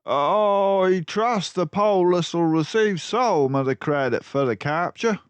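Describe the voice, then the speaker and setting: Storybook police mouse, male reader acting: deferential, formal, raised pitch, unhurried. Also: smooth, no echo. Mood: respectful. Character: respectful, dutiful male mouse police constable.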